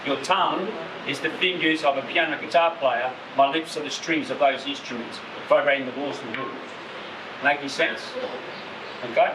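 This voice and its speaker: A man's voice: on the high side, moderate pace. A man teaching didgeridoo to a live audience, playfully drilling sounds over and over. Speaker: didgeridoo instructor. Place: tourist show venue. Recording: roomy, distant from mic, noisy.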